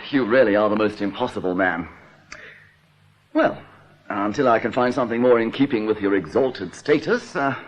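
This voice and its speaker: Actor as testy, low-voiced bank office boss. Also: male voice, animated, moderate pace, lightly acted. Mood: irritated, sardonic. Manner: sardonic, testy. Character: irritable, sardonic male bank chief, evasive. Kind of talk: radio drama acting. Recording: dull, noisy old radio recording.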